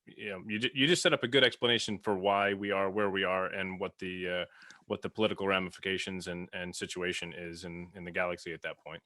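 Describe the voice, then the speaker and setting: A man with a slightly low voice chats casually on a podcast. He talks at a quick pace, in a conversational style. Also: little pitch movement, pushed and bright, no room sound.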